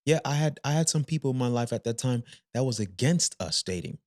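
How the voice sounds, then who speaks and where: A man's voice: medium pitch, a little fast, no echo, clean studio sound. Emphatic man co-hosting a faith podcast. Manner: energetic, emphatic, repeats points. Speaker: podcast co-host. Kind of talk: casual faith-based advice chat.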